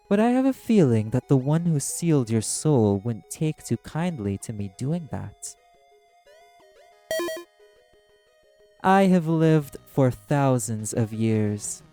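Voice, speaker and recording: A man acts out an ancient, haughty demon casino boss in an audio roleplay. The voice is soft-edged and dark, drawling in measured, theatrical phrases over clearly audible background music. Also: medium pitch, voice pushed up, close to an everyday voice. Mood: menacing, curious. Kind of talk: scripted audio roleplay monologue.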